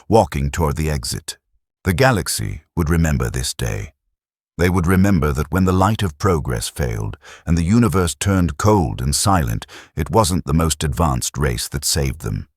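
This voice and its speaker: A man narrating a science fiction audiobook in a grave, dramatic storytelling manner, with a husky voice. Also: rumbling low, no room sound, clean studio sound.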